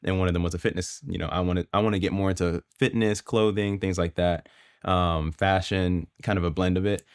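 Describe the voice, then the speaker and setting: A young man from Florida, a guest videographer telling his story in a casual podcast chat, relaxed and filler-heavy. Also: deep voice, a little fast, clean tone, no room sound, clean studio sound.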